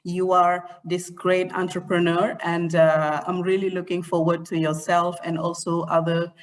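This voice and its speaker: A woman's voice: fairly low pitch, little pitch movement, measured pace. A woman who works in communications, speaking as a webinar guest and giving friendly, practical advice. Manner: friendly, advising, light laughs. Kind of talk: webinar panel answer. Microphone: video call.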